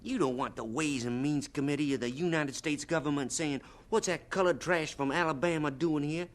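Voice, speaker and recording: An actor playing a fussy, protective young assistant, scolding and pleading in a radio drama. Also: male voice, a little high, normal speed, lightly acted. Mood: worried, scolding.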